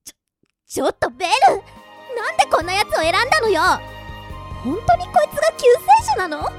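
A woman's voice: very high voice, big pitch swings, a little fast, over music. A woman playing a haughty young demon-race girl in an anime-style radio drama, scolding and imperious. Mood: imperious, exasperated.

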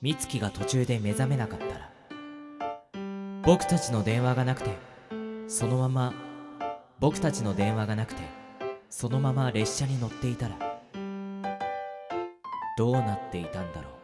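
A man's voice: mid-range voice, normal speed, long pauses, loud music behind the voice, close to an everyday voice. A man playing an earnest, mild young friend who also narrates an amateur radio drama. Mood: grave, ominous.

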